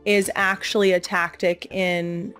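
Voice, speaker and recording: A woman hosting a podcast, asking questions in a casual way and backing her guest up with quick yeses. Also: lowish voice, level tone, soft background music. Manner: warm, affirming, many short "yes" replies. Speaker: podcast host. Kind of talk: casual interview chat.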